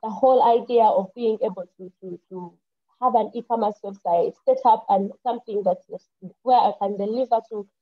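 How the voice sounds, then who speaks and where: A woman's voice: medium pitch, brisk. A young woman with a Ugandan English accent, an engineer and farmer, telling her story earnestly on an online panel, often restarting her sentences. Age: young adult. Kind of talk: casual personal account on an online panel. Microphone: computer mic on a video call. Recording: muffled lo-fi mic.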